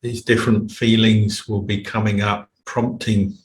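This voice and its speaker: A man teaching a guided meditation in a measured, unhurried way, with long pauses between phrases. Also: fairly low pitch, flat delivery. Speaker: meditation teacher, Buddhist monk. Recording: roomy echo, faint background noise.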